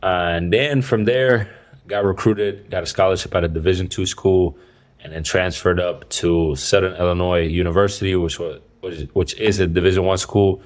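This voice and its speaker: A man in his twenties, from the Dominican Republic and raised in Belgium, answering interview questions in a relaxed, rambling way with many fillers. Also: deep voice. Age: 20s. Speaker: professional basketball player, guest.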